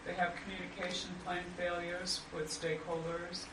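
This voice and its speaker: A panelist speaking in a measured, deliberate way at a roundtable discussion. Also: female voice, lowish voice, little pitch movement. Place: conference room. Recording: echoey room, distant mic, loud background noise.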